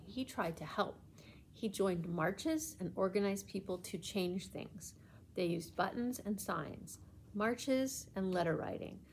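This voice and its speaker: A woman working as a library storyteller reads a children's book aloud, with deliberate, expressive phrasing meant for young listeners. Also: medium pitch. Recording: faint background noise.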